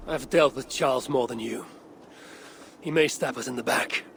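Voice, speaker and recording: Game hero, male Viking, acted by a man: stoic, measured, rough fry in a mid-pitched voice. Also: a little hiss or hum, close to an everyday voice. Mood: guarded. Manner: grave, terse, measured. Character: stoic, resolute Viking warrior, adult man. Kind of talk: dramatic cinematic game acting.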